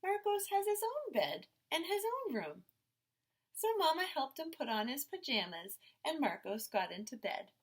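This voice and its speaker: A woman teacher reading a picture book aloud to young children in expressive, simple phrases. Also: on the high side, slightly rough.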